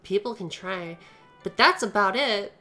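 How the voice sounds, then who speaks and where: Bright-voiced woman streaming over game music. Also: mid-range voice, expressive, unhurried. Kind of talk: live playthrough commentary, reading dialogue aloud.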